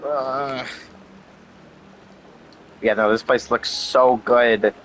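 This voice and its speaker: A man's voice: medium pitch, fairly even intonation, very slow, fairly bright tone, a little hiss or hum, low-quality recording. A young adult man giving casual gameplay commentary as a gaming streamer. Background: game music.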